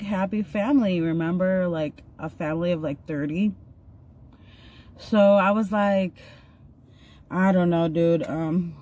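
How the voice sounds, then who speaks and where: A woman's voice: on the low side, warm tone. A woman in her thirties telling a personal story in a chatty, earnest way while doing her makeup, recorded on a phone in a parked car. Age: 30s. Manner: chatty, filler-heavy, earnest. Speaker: vlogger. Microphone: phone camera at arm's length.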